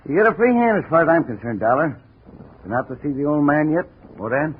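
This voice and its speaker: An actor playing a brusque but cooperative American police sergeant in a 1950s radio drama, clipped and matter-of-fact. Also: male voice, a little high, lively intonation, a little fast, lightly acted. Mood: brisk, businesslike. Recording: tinny, noisy 1950s radio recording.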